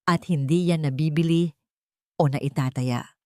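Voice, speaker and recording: A wise old mother , acted out by a woman narrator, speaks in a low, soft voice with calm understanding and quiet firmness. She sounds unhurried and gentle, as in an audio storybook drama, recorded dry. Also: dry recording. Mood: gentle, firm. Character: gentle, wise, steadfast elderly mother counselling her son.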